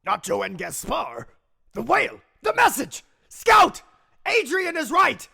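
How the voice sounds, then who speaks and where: A man voicing an excitable, melodramatic evil inventor in a comedy audio drama, declaiming in an overwrought way and prone to shouting. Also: unhurried, exaggerated voice. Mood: frantic. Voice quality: high-pitched, creaky, sharp.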